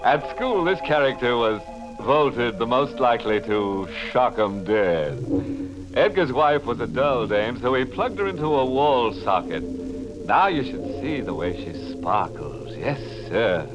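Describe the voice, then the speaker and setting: An actor playing a macabre horror show host in 1940s radio style, with a theatrical, drawn-out delivery full of morbid glee. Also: male voice, mid-range voice, big pitch swings. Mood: ghoulish glee. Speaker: host and narrator. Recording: muffled old radio recording with music.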